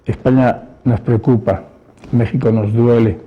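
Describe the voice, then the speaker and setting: A man, giving reflective, halting personal testimony. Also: mid-range voice, fairly even intonation. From born in Spain, grew up in Mexico. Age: 70s. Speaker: interviewee. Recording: dull lo-fi sound, faint music.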